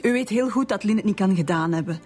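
A woman's voice: medium pitch, quick pace, a little mellow, slightly rough, lightly acted. A woman with a Flemish accent playing a distressed, insistent middle-aged murder suspect in a radio drama. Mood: defensive, indignant. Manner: emotional, insistent. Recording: music behind the voice.

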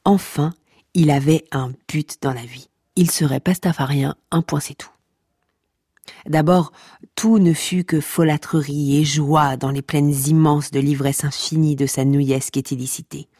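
An actress playing a droll narrator of a comic saga, wry and mock-solemn, speaking straight to the listener. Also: female voice, sits low, steady tone, a little slow, mellow, slightly rough, no room sound, clean studio sound. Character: droll, mock-epic female storyteller of a pasta-cult saga.

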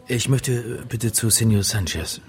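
A man playing a hapless religion lecturer who narrates a crime radio drama, dry and wry, halting in dialogue. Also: on the low side, natural voice. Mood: flustered. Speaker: narrator and lead character.

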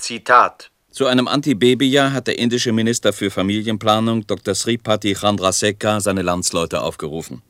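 An actor playing a formal, matter-of-fact newsreader reading out a report in a radio play. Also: male voice, lowish voice, a little fast, fairly bright tone, no echo, close to an everyday voice. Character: formal male newsreader quoting a news report. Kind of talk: scripted news-style reading in radio play.

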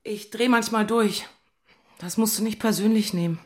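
Actress as moody woman in her forties, husky medium voice, radio drama love affair talk. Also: medium pitch, normal speed, lightly acted. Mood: intimate, teasing. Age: 40s. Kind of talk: German radio drama dialogue.

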